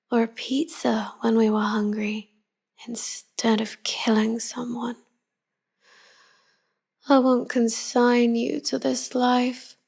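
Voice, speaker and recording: Hushed, breathy vampire wife, played by a woman. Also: on the high side, heavily acted. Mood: bitter, mournful, then tender, guilty. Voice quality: soft, breathy, often whispering. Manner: slow, hushed, confiding. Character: tender, melancholy vampire wife devoted to her human lover. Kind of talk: intimate whispered pillow-talk roleplay audio.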